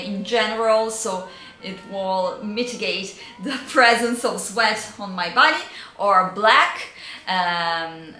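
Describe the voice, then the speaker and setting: A woman with an Italian accent, a native Italian speaker, giving casual style advice in a vlog, often pausing to search for words. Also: mid-range voice, echoey room. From Italy. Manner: hesitant, searching for words, frequent fillers and soft laughs. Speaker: vintage style vlogger and yoga teacher.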